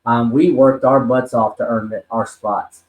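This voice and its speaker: A man's voice: medium pitch, steady tone, a little slow, echoey room, phone-quality sound. A man in his forties telling his life story as a podcast guest, earnest and rambling, with many ums and restarts. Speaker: podcast guest, wrestler and talent manager. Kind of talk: personal story in a podcast interview.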